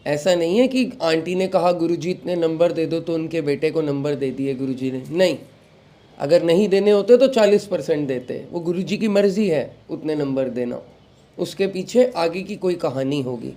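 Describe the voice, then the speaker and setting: A young man, recovering from a sore throat, hosting a devotional talk and giving calm advice to followers while mixing in English phrases. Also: on the high side, normal speed. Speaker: satsang host.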